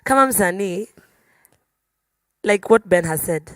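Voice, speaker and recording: A woman with a Kenyan accent talking casually as a musician guest on a panel, telling stories in an animated way. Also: medium pitch, takes it slowly, no echo. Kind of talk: casual conversational panel talk.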